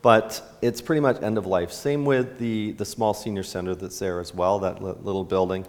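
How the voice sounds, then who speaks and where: A man's voice: sits low, steady tone, moderate pace. A middle-aged man from Canada, a town mayor, answering questions at a town hall in a casual, rambling way with many restarts. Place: large indoor hall with a stage. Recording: long hall reverb.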